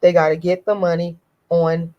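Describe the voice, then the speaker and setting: A woman with a hoarse, deep voice, giving a blunt, emphatic opinion monologue to her online audience and repeating her key points. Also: little pitch movement, normal speed, warm tone, muffled recording. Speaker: YouTube commentator.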